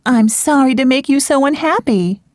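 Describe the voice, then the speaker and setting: Brief: a woman playing a distraught young lady, pitched high, tearful and pleading, for an audiobook. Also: moderate pace, darkish tone, no echo, clean studio sound, close to an everyday voice. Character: heartbroken, emotional young woman.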